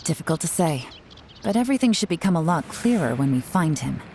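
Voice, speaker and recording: A woman's voice: low voice, very animated, fairly quick, background noise. A woman playing a shrewd, commanding young investigator in a video game, composed and authoritative. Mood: terse, cool.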